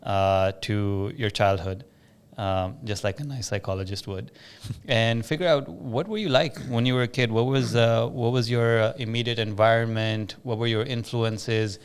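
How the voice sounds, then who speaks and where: A man's voice: fairly low pitch, fairly even intonation, moderate pace, smooth. A man hosting a podcast, chatting casually as he interviews a guest and shares his own experience as a business owner.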